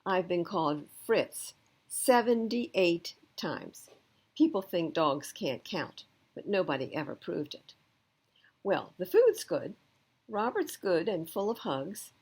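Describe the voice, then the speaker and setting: A woman voicing a wry, plaintive storybook dog, animated pitch. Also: slow pace, breathy edge, exaggerated voice. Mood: content, eager. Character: wry, plain-spoken, thoughtful male rescue dog.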